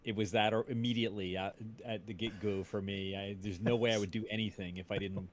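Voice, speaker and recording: A man's voice: on the low side, fairly even intonation, quick pace, a little hiss or hum. A man hosting a casual podcast chat, enthusiastic and appreciative toward his guest. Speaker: podcast host.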